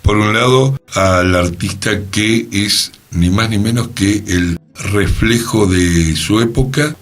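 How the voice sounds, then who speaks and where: A man's voice: on the low side, takes it slowly. A man with an Argentine accent discussing things reflectively and conversationally on a radio panel.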